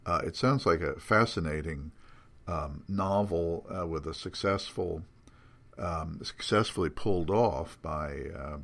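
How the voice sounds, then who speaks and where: Older man, extremely deep pitch, bookish unscripted talk, hesitant, frequent ums, self-correcting, erudite phrasing. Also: measured pace, a bit bright. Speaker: podcast guest editor previewing magazine contents.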